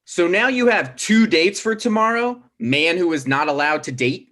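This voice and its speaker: A man playing a dry, hesitant young political candidate in a comedy table read, given to deadpan asides. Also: sits high, moderate pace. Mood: wry.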